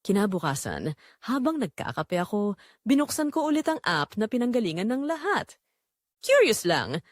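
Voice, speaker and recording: A woman's voice: mid-range voice, wildly swooping pitch, moderate pace, no room sound, clean studio sound. A woman playing a restless, defiant Filipino housewife, telling her secret in an emotive, confessional first-person drama narration. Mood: uneasy, intrigued.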